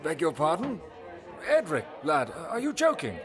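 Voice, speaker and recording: Man playing a high, animated, genial father. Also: normal speed, crowd noise. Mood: dismayed, wistful. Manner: folksy, doting, musing. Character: genial, hearty father of a grown prince. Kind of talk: audio drama acting.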